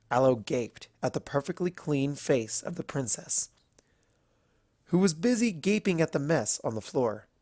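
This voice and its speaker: A man's voice: mid-range voice, no echo, studio quality. A man reading scripted fiction aloud as the narrator of a story.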